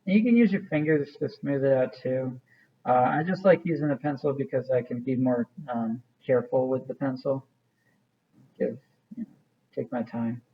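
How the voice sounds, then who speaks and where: A man's voice: medium pitch, moderate pace, slightly rough, dull audio. A man teaching a hands-on craft demonstration in a casual, informal way, thinking aloud as he works. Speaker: art teacher.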